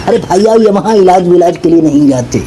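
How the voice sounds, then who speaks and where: A man's voice: high voice, animated, fairly quick, noisy background. A man from Pakistan delivering a fiery, mocking satirical political monologue full of repeated rhetorical questions. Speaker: political commentator.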